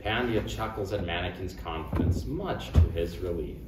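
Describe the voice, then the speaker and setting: A man's voice: deep voice, moderate pace. A man reading out stage directions as narrator at a script table read. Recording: roomy, distant mic, clear noise.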